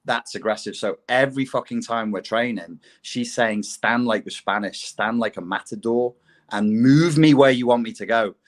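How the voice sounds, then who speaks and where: A man's voice: mid-range voice, moderate pace. A man talking bluntly and swearing freely in a casual podcast chat, often mocking himself. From England.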